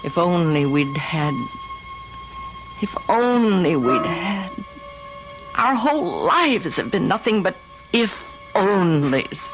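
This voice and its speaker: An actress playing a gentle, lonely older housewife in an old-time radio drama. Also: female voice, on the low side, very animated, slow, pauses often. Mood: brooding, wistful. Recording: muffled old radio recording with music behind.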